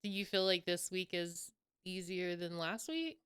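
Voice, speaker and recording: A young woman chats casually as a podcast co-host, her speech rising and falling in a flowing, expressive way. Her voice sits a little low and sounds smooth and clean. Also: a little slow. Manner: giggly, expressive, with a flowing speaking melody. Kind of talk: casual chat.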